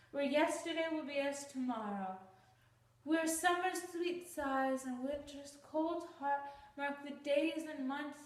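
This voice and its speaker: A woman playing a young romantic wife in an earnest, declamatory Shakespearean-style stage soliloquy. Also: sits high. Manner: declamatory, earnest, archaic phrasing. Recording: roomy, distant microphone.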